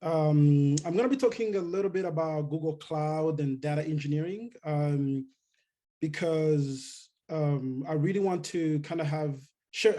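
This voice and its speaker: A man from Rwanda giving a relaxed talk on a video call, with many fillers and restarts. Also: fairly high pitch. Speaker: guest speaker. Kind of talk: casual technical talk.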